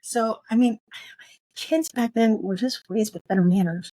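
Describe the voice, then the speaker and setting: Halting woman podcast host, dry sound. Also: fairly high pitch, dark tone, dry recording, low-quality recording. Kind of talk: casual book discussion.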